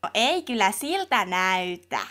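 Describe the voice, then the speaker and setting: A woman's voice: medium pitch, very animated, bright, forceful tone. A woman voicing a blunt, innocent little girl in a comedy cartoon dub, with a naive, childlike way of talking.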